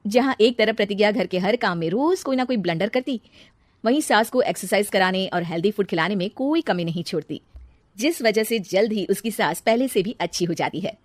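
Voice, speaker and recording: A woman's voice: medium pitch, lively intonation, brisk, dry recording. Close, dry-sounding woman storyteller. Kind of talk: scripted narration of Hindi family moral stories.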